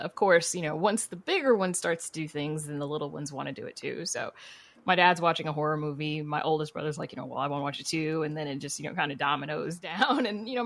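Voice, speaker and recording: Woman, low voice, podcast guest, casual storytelling, candid, chatty, conversational fillers. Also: vocal fry, a bit bright. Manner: candid, chatty, peppered with "you know" and "like". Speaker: podcast guest, horror writer.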